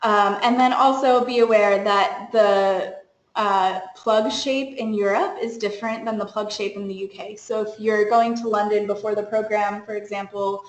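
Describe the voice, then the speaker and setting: A woman's voice: medium pitch, fairly even intonation, moderate pace, reverberant, poor audio. A young woman on a study abroad program staff, giving an informal webinar briefing in a chatty way, with lots of fillers and small laughs.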